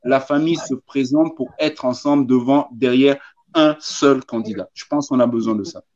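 A man's voice: mid-range voice, moderate pace, a little mellow. A man in his fifties, a former footballer, talking emphatically as a guest on a video call and repeating his points. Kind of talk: casual interview on a video call. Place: indoors, small room. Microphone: phone or webcam on a video call. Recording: slightly dull video-call audio.

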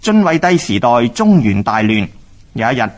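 A male narrator of a historical radio drama speaks Hong Kong Cantonese at a medium pitch. He reads scripted history in a measured, explanatory way. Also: sing-song.

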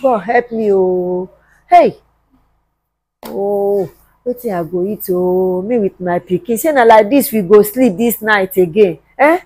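A woman's voice: sits high, no room sound, natural voice, poor audio. A woman acting a hungry, long-suffering mother in a film drama, pleading repetitively and plaintively with a Nigerian accent. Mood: plaintive despair. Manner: plaintive, repetitive begging with drawn-out wails.

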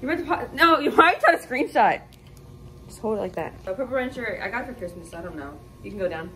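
A woman's voice: on the high side, animated, fast, fairly bright tone. A young woman with an American accent chatting casually for a vlog in a hotel room. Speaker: vlogger. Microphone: camera or phone at arm's length. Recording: clearly noisy room sound.

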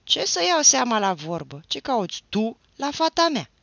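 A man reading aloud voices a gruff older peasant father barking threats, on a dull, dry recording. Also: mid-range voice, animated, dry recording, close to an everyday voice. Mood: angry.

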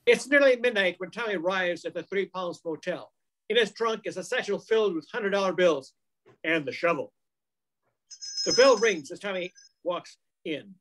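An older man narrates a radio mystery from a script. His voice is high for a man. The delivery follows old-time radio storytelling, captured on a video call. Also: animated, normal speed, background noise. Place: home room, video call. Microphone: computer mic on a video call.

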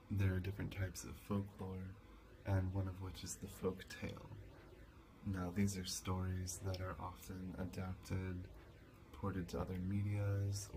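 A young man playing a calm college professor in a soft-spoken ASMR lecture roleplay, measured and didactic. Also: low-pitched. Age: young adult. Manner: measured, didactic, with rhetorical questions. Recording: clearly noisy, hissy home recording.

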